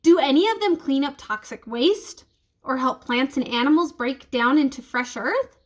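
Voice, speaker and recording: A woman's voice: high-pitched, lively intonation, moderate pace. A woman reading the part of an inquisitive, playful schoolboy asking science questions in a children's storybook. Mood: curious.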